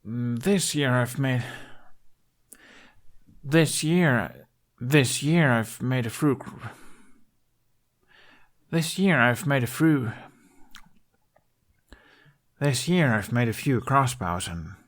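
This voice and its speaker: A man voicing an awkward young prince who tinkers, hesitant and deadpan, in a story reading. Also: mid-range voice, slow pace, pauses often, voice pushed up. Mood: enthusiastic, halting.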